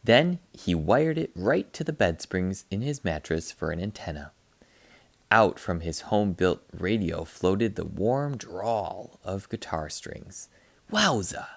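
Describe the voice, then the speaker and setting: Man, children's read-aloud, expressive narration, gravelly edge, close dry sound. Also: sits low, takes it slowly, dry recording. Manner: animated, dramatic emphasis. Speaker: teacher reading a picture book aloud.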